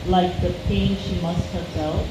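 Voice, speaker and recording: A woman's voice: on the low side, fairly even intonation, moderate pace, far from the mic. A woman co-hosting a casual chat, agreeing and chiming in conversationally. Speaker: co-host reviewing a reality show. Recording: echoey room with loud noise.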